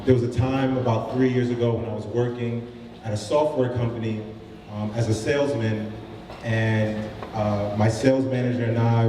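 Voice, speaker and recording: Man, startup founder, informal pitch, dark mellow tone, distant mic, faint room noise. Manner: casual, many fillers and restarts. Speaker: startup founder giving a short talk. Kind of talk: informal pitch talk to a live audience. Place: event room with audience. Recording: roomy hall, mic from a distance.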